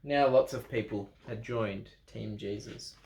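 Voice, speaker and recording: Guy reading a bible storybook to kids, really slow and dramatic, bit of gravel in his voice, roomy sound. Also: fairly low pitch, background noise. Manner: dramatic pauses, expressive emphasis, playful ad-libbed asides. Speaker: storyteller reading a children's Bible picture book. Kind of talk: animated read-aloud storytelling for children. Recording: roomy, echoing room sound.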